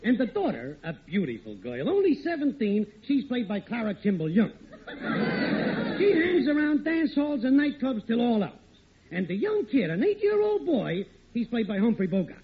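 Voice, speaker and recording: An actor playing a suspicious, complaining American working-class co-worker with slangy grammar in an old radio sitcom. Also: male voice, very high-pitched, huge pitch swings, moderate pace, a little hiss or hum, full character voice. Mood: whiny, complaining. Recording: thin, narrow vintage radio recording.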